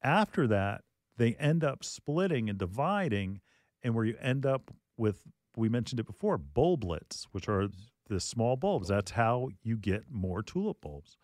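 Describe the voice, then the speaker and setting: A man's voice: mid-range voice, big pitch swings, measured pace, darkish tone, dry recording, clean studio sound. A man hosting a garden radio show in casual chat, jokey and talkative, giving tips and quoting callers. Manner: chatty, jokey, quotes callers in mock voices.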